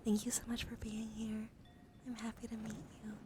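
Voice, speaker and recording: A woman hosting an ASMR livestream, chatting gently and soothingly to viewers in a hushed voice that often drops into whispers, slightly strained from just waking up. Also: a little high, completely flat delivery, normal speed, noisy background.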